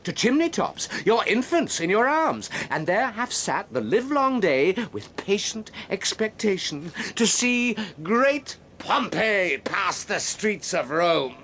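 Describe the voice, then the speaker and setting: A man's voice: high voice, very animated, slow, hardly any pauses, fairly bright tone, slight background noise, full character voice. An actor playing an indignant male Roman official who scolds a crowd, declaiming and dropping to a whisper. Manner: declamatory, scornful, drops to whispers. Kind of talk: radio drama acting.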